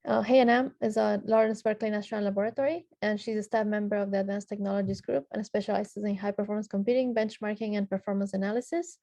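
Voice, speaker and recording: A woman's voice: mid-range voice, fairly even intonation, fast talker, creaky, hardly any pauses, warm tone. A woman from Ecuador moderating a technical panel, reading out introductions with frequent filler sounds and restarts.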